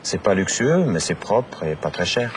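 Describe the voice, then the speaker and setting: A polite young American man in Paris, with a notably deep, low voice. He talks modestly and courteously. Traffic and city street noise are heard clearly behind him. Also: big pitch swings, moderate pace. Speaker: lead character in a language course drama.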